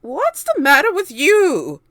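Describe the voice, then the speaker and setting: A woman's voice: big pitch swings, slow, no room sound, studio quality, heavily acted. A woman voicing a bony, vain and spiteful old aunt who screeches her words. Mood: furious. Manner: screeching, shrill and harsh.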